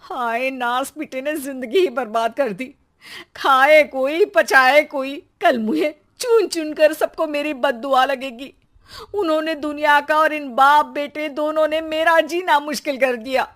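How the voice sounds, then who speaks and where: A woman performs a fed-up cartoon mother with a fiery temper. Her voice is raised high and shrill, scolding with heavy sarcasm. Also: unhurried, a bit bright. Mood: exasperated rant. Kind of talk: Hindi cartoon story dialogue.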